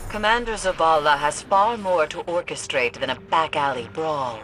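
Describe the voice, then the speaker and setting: A woman's voice: deep voice, normal speed, fairly bright tone, a little hiss or hum, poor audio. An actress playing a grave, ominous mystic woman in a video game, speaking in a formal, cryptic, solemn manner. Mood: solemn, scornful. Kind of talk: dramatic video game acting. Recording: music behind the voice.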